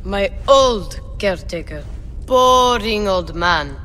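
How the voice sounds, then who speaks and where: A woman voicing a curious, cheeky young boy in a video game, excitable and boastful. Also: medium pitch, slow. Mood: chatty.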